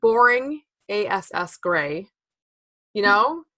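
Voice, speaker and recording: Brief: bright, chatty woman in her thirties, casual knitting chat, laughs easily. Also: mid-range voice, lively intonation, takes it slowly. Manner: chatty, laughs often, lots of fillers. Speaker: podcast co-host.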